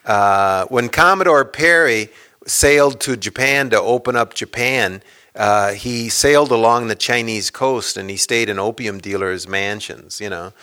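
A man speaks to an audience at a book talk, his voice bright and forceful. He rambles and restarts often, piling on "uh"s between points. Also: on the low side, measured pace, clean tone. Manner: rambling, many fillers and restarts. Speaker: author speaking at a book event. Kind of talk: casual lecture talk with audience Q&A.

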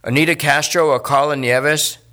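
A man voicing a drowsy, laid-back male detective with flat, offhand replies. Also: on the high side, normal speed, pitched above the performer's own voice.

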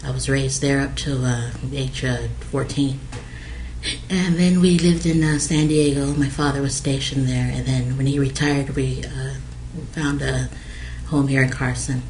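A middle-aged woman from American Samoa speaks in a flat, level tone, pausing often with "uh" as she recalls things. The recording carries a faint hiss underneath. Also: extremely low pitch, poor audio. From American Samoa, raised in Hawaii. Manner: hesitant, many fillers. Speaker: interviewee. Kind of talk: oral history interview.

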